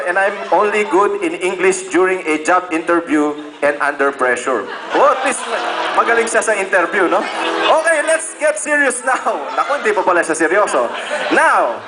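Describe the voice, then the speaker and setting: Filipino guy, kinda high voice, reading a letter out loud, goofy and stumbly, roomy echo. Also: expressive, normal speed, noisy background. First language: Tagalog. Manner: playful, stumbling, breaks into chuckles and side comments. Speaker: reader of a friend's message. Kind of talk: reading a letter aloud with joking asides. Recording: echoey room with loud music behind. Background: loud instrumental music.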